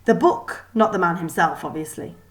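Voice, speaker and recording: A woman reading a children's novel aloud as expressive scripted narration. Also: on the low side, moderate pace. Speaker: storybook reader.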